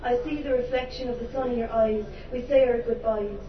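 A woman's voice: on the high side, fairly even intonation, fairly quick. An Irish woman performing her spoken word poetry to a live audience, impassioned and theatrical with wry humorous asides. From Ireland. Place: live venue before an audience. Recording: roomy, distant, dull live recording with background noise.

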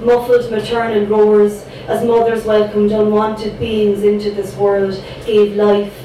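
A woman's voice: mid-range voice, completely flat delivery, a little slow, mellow. Irish woman performing spoken word poetry. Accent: Irish, Cork. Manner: rhythmic, dramatic delivery with chatty asides between poems. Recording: echoey room picked up from a distance, background noise.

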